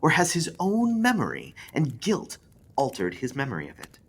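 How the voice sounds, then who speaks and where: A man's voice: mid-range voice, huge pitch swings, normal speed, vocal fry, slightly rough, voice pushed up, full character voice. A man voicing a creepy, smiling, thin male stranger who needles with mocking questions in a horror story. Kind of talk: audiobook-style character voice in a horror story.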